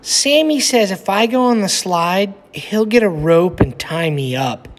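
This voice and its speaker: A man's voice: high voice, expressive, measured pace, voice pushed up. A man reading a storybook aloud, voicing a timid but plucky young boy in an earnest, exaggerated child voice. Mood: worried. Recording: echoey room with long reverb.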